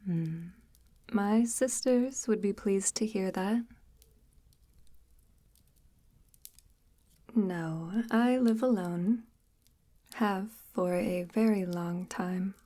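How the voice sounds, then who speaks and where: A woman playing a coaxing, sinister witch of the woods in an ASMR audio roleplay, breathy and often near a whisper, speaking formally and with gentle menace. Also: medium pitch, natural voice. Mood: quietly ominous. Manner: unhurried, formal, archaic-tinged, gently menacing. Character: soft-spoken, sinister, coaxing forest witch luring a lost traveler. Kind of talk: ASMR audio roleplay, slow intimate monologue.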